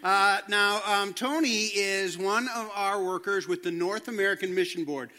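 A male host welcomes a guest on stage and keeps the interview moving with encouraging questions. His voice sits high for a man, with a bright, sharp edge. He speaks in an upbeat, easy way. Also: moderate pace. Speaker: church host. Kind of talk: friendly interview.

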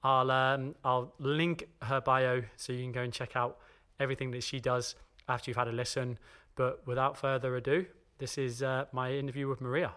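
Male podcast host, medium pitch, informal and conversational interviewing style. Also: level tone, moderate pace, pushed and bright. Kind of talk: casual interview.

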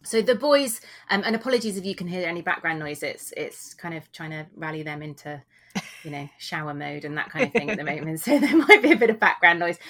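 A woman's voice: on the low side, expressive, fairly quick, a bit bright. A British woman in her forties, chatting casually and self-deprecatingly as a guest on a podcast, recorded at home. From England. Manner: chatty, rambling, self-deprecating with frequent laughs. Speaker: guest author. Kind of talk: casual interview chat.

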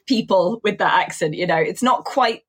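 An English woman with a hybrid accent and traces of Coventry in it, speaking as an English teacher in a relaxed interview. Her voice is a little husky and sits in a middle register. She explains her ideas step by step and scatters "you know" through her talk. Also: mid-range voice, normal speed. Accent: mixed English, with traces of Coventry. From England. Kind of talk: casual interview chat.

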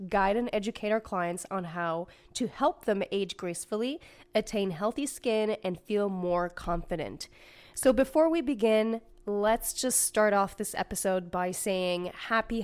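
Slightly raspy woman podcast host. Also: mid-range voice. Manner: chatty, repeats key points with "okay?". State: slightly raspy from a recent cold. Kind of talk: casual educational podcast talk.

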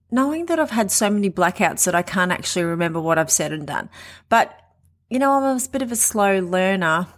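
A woman in her late forties speaks candidly into a podcast mic, her voice in the middle of the usual range. She talks in a loose, conversational flow, pausing and restarting as she goes. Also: mid-range voice. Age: 40s. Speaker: podcast host. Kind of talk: personal monologue.

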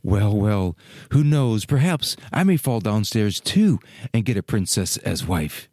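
A man's voice: lowish voice, sing-song, a little slow, darkish tone, dry recording, studio quality, full character voice. A man voicing a naive, vain and wistful young fir tree in a children's storybook, musing aloud. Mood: hopeful, musing. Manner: musing aloud in rhetorical questions. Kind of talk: dramatized children's storybook reading.

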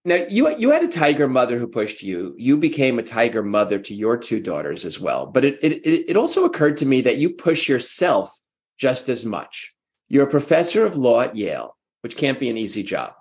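A man hosts an interview, laying out long questions in a measured way. His voice sits at a middle pitch but rises and falls with a lot of movement. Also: huge pitch swings. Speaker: podcast host and interviewer.

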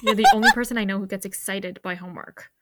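A woman's voice: medium pitch, fairly even intonation, fast, heavy background noise, natural voice. Casual teenage best friend, played by a woman. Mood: teasing. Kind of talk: audio fiction drama acting.

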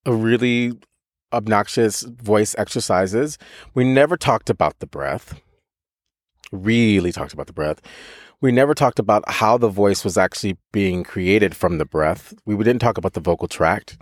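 A man in a casual podcast chat, telling stories with animated energy and breaking into laughter now and then. His voice sits at a middle pitch, and the recording is close and dry with no room sound. He restarts his sentences often and swears freely. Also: no room sound. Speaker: podcast co-host, voice teacher.

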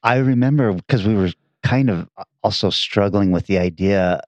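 A man co-hosting a podcast with a gravelly voice, chatting in an easygoing way. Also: fairly low pitch, moderate pace, dry recording, lo-fi sound. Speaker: podcast co-host. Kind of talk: casual chat.

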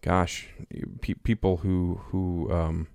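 A man in his thirties with a very deep, loud voice that carries, speaking casually as a pastor on a podcast. He rambles, full of fillers and restarts. The sound is dry and close. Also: level tone, takes it slowly, gravelly, no room sound. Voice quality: loud, carrying voice. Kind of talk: casual conversation. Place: small home studio.

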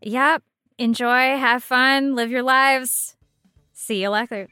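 A woman's voice: sits high, fairly even intonation, takes it slowly, sharp tone, clean tone, no echo, soft background music. A woman co-hosting a podcast in casual banter, with a teasing, dry sense of humor. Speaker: podcast co-host.

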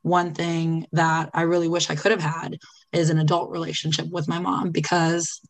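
Candid woman, low-pitched and reflective. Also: flat delivery, moderate pace, gravelly. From Italy. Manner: candid, thoughtful, many fillers and restarts. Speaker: podcast guest. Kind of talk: reflective personal interview.

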